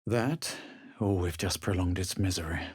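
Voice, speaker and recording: A self-assured young male adventurer from a fantasy role-playing game. The voice is husky and recorded very dry, with a dramatic, superior manner that suits both literary narration and sharp exclamations. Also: low voice, moderate pace, no echo. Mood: grave.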